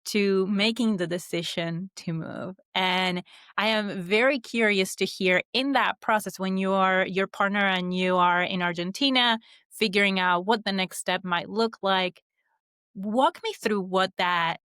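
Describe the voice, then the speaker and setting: A woman's voice: mid-range voice, measured pace, fairly bright tone, smooth, no echo, clean studio sound. A woman hosting a podcast interview who reads a scripted intro and then asks questions casually, with some fillers. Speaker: podcast host.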